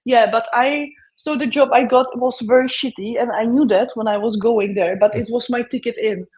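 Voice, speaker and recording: A woman's voice: a little high, normal speed, a little mellow, poor audio. A woman from the Czech Republic with a Czech accent, a guest chatting casually in an interview, talking with lots of fillers and frequent laughs. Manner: chatty, laughs often, many fillers and restarts.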